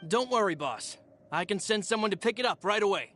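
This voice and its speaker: Steady loyal male henchman, crisp bright tone, calm and respectful. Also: sits high, brisk, a little hiss or hum. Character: loyal male gang member, steady and helpful. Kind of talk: game voice acting.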